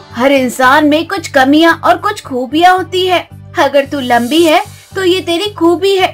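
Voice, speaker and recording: A woman's voice: extremely high pitch, pitched above the performer's own voice. A woman voicing a fair-minded, protective older mother-in-law who speaks earnestly in a moral story. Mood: scolding. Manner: admonishing, earnest.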